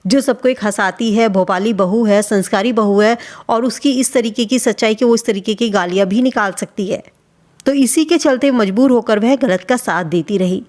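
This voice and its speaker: A woman's voice: fairly high pitch, moderate pace, darkish tone. A woman hosting a video channel, giving gossip commentary in a reproachful, questioning way, addressing people directly. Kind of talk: spoken commentary on vlogger gossip.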